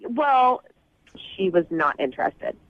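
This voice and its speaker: A woman's voice: mid-range voice, very animated, slow pace, no echo. A woman calling in to a radio show, explaining herself casually and apologetically over the phone. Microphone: phone call to a radio studio. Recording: dull lo-fi phone line.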